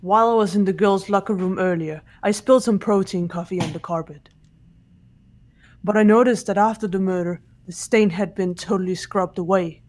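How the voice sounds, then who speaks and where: Woman voicing a stoic fighter girl, medium pitch, serious, plain-spoken, game dub. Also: normal speed, a little mellow, close to an everyday voice. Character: stoic, muscular young female fighter student. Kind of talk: amateur game dub acting.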